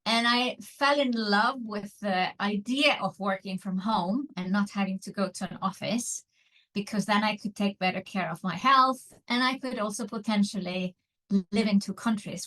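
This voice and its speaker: A woman, an online business mentor telling her story as a guest, earnest and pausing often with fillers. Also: medium pitch, a bit bright, low-quality recording. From Iceland. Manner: earnest, frequent filler pauses.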